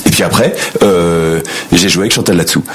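A man's voice: deep voice, normal speed, background noise. A man from France, an actor, chatting in an interview with frequent hesitations and restarts. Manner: hesitant, many fillers and restarts. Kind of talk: casual interview chat.

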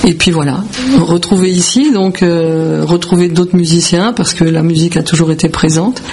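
A woman from Belgium with a creaky voice, answering as a musician in a radio interview in halting, repetitive phrases. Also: fairly low pitch, fairly even intonation, darkish tone, slight background noise. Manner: hesitant, halting phrasing with repeated words. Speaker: musician, founding member of a band.

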